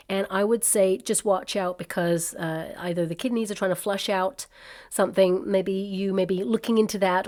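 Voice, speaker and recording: A woman doing a casual tarot reading at a table, chatty and reassuring with many fillers, her voice slightly croaky from a coming cold. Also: medium pitch, darkish tone. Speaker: tarot reader. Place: indoors at a table covered with cards and crystals.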